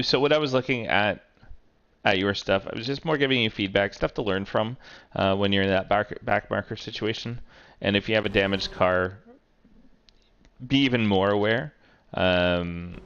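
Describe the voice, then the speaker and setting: A man's voice: on the low side, fairly even intonation, moderate pace, fairly bright tone, smooth, muffled recording. A man streaming sim racing, casually talking through a race replay and thinking aloud with many fillers.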